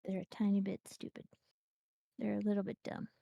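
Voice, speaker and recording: A woman's voice: mid-range voice, completely flat delivery, fairly quick, vocal fry, no echo, lo-fi sound. A woman co-hosting a game stream, chatting in a playful, rambling way and often restarting her sentences. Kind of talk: casual gameplay chat.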